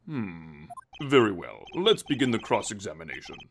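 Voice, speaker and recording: A man's voice: lowish voice, very animated, normal speed, a little hiss or hum. A man voicing a solemn, slightly befuddled old judge with a formal, ponderous manner in a video game fan dub. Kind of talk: video game character acting, courtroom formal. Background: quiet game music.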